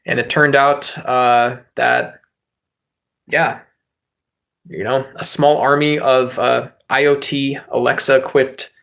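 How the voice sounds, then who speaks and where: A man hosting a livestream, chatting with viewers in a rambling, joking way and swearing freely; he says his voice can turn high and squeaky. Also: little pitch movement, slow pace, creaky, a bit bright. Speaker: livestream host. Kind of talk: casual livestream chat with viewers.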